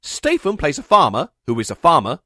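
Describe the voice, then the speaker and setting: A man narrates a scripted, comedic film critique with wildly animated intonation. He is sarcastic and exasperated, hammering his points and swearing freely. The recording is dry and close. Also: fairly high pitch, brisk, no echo. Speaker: video essayist, film reviewer.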